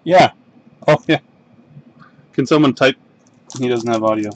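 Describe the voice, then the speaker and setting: A man's voice: medium pitch, low-quality recording. A Canadian man hosting a live card break, calling out the cards at his desk in an easygoing, mumbling way. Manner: unhurried, mumbling card names while opening packs. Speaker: box break host. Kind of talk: casual live-stream card break commentary. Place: desk in a home card room.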